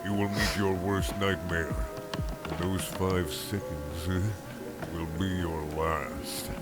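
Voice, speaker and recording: A man with a fairly low voice reads horror stories as a YouTuber would, dramatic yet deadpan and mock-solemn. Loud music runs behind him, along with video game gunfire. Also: takes it slowly. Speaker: YouTuber, story reader. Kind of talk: dramatic amateur horror story narration with mock-serious asides.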